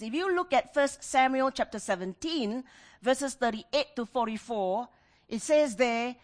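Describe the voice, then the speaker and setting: A middle-aged woman preaches. She speaks as a pastor to her congregation, mixing scripture with personal stories. Her delivery is emphatic, with repeated phrases and questions put to her listeners. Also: high voice. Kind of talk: sermon with personal anecdotes.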